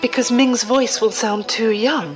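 A woman's voice: on the high side, steady tone, a little slow, lightly acted. A woman acting as a composed, dryly amused religious sister in an audio drama. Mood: calm amusement. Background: music.

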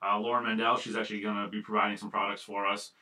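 Man, radio host, casual chat, sharp bright tone, joking and profane, self-deprecating, distant mic. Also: fairly low pitch, flat delivery, fast. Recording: distant microphone.

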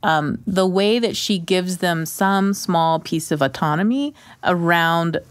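Earnest woman with a lowish voice. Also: takes it slowly, dry recording. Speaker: radio show panelist. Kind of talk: casual chat.

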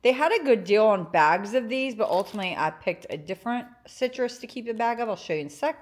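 A woman's voice: a little high, expressive, quick pace. Chatty young woman showing off groceries. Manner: chatty, enthusiastic, lots of fillers like "like" and "um". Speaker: grocery haul vlogger. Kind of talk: casual grocery haul narration. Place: home kitchen counter.

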